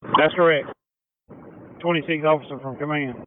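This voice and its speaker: A man's voice: fairly high pitch, steady tone, normal speed. An American man giving brief instructions as an incident commander over emergency two-way radio. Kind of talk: emergency radio dispatch traffic. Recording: muffled two-way radio with noise and clicks.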